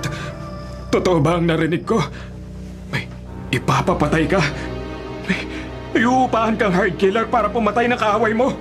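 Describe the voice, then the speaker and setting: A man's voice: high-pitched, moderate pace, long pauses, lightly acted. An actor playing men in a radio melodrama, such as a village chief and an obedient henchman. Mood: shocked. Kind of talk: melodramatic Filipino radio drama acting. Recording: music and vehicle sounds behind.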